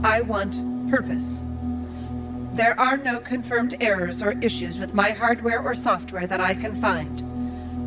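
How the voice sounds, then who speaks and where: A woman's voice: medium pitch, lightly acted. A woman playing a lonely, earnest robot librarian in an audio drama, speaking in formal, precise sentences. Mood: lonely, yearning. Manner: formal, precise recitation of data.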